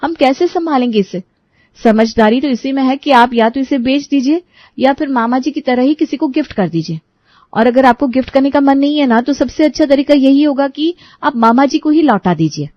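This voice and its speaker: Woman as a highish-voiced practical young daughter-in-law. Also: lively intonation, normal speed, no echo, lo-fi sound. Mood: pleading.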